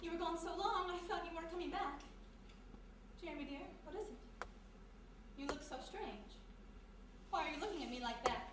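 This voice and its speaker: A woman's voice: extremely high pitch, normal speed, pauses often. A woman playing an affectionate young society lady, a colonel's daughter, in melodramatic old-time radio style. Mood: anxious. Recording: very echoey live room, distant mic, noisy.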